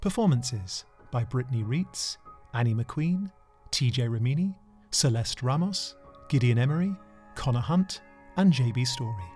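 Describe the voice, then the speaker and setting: A man announcing the closing credits of an audio drama in a formal, unhurried way. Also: mid-range voice, lively intonation, darkish tone, soft background music.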